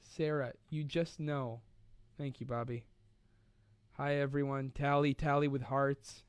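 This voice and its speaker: A man's voice: medium pitch, level tone, unhurried, clear, resonant tone, no room sound. A young man hosting a casual live online show, rambling and playful, laughing often as he reads out viewer comments. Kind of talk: casual live-stream chat.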